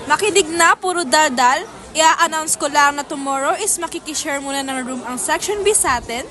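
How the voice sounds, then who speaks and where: Scolding classroom teacher played by a young Filipino woman, high-pitched, brisk and bossy, crowd chatter behind. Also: bright, forceful tone. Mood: stern, brisk. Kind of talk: amateur school radio drama acting.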